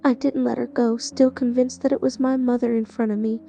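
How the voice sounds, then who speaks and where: High, gravelly woman narrating calmly. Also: a little fast, highs cut off, soft background music. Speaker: narrator and lead character. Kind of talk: first-person horror story narration.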